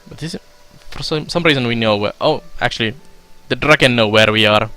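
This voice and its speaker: A man's voice: medium pitch, a little fast, clear, resonant tone, soft background music. Finnish-accented man streaming a game. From Finland. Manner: relaxed and chatty, many fillers, laughs often. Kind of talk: let's play commentary while reading chat.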